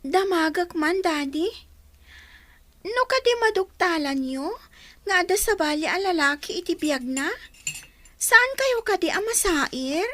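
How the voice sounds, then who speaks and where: A woman's voice: extremely high pitch, lively intonation, unhurried, long pauses, fairly bright tone, exaggerated voice. A woman playing an earnest, anxious young schoolgirl in a radio drama, with a pleading, questioning manner.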